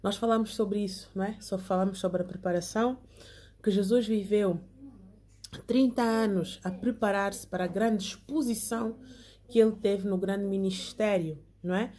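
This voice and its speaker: An earnest young woman leads an online Bible class. Her voice is mellow and soft-edged, sitting at an ordinary middle pitch. She reads from her notes and keeps drawing her listeners in by asking them to say amen. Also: steady tone, normal speed. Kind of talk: online Bible lesson, partly read from notes.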